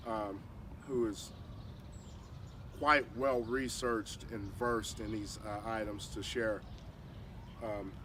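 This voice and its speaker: A man with a head cold and a slightly nasal voice hosts an interview outdoors in a city park, rambling with many fillers. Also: lowish voice. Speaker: host. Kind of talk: casual interview introduction. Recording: distant mic outdoors with clear street noise.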